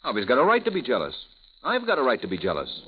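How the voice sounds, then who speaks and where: An actor playing an earnest, possessive husband who grows urgent and threatening, in a 1940s radio drama. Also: male voice, medium pitch, wildly swooping pitch, fast. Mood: intense. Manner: earnest, urgent, emotional swings. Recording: dull old radio recording with faint hiss.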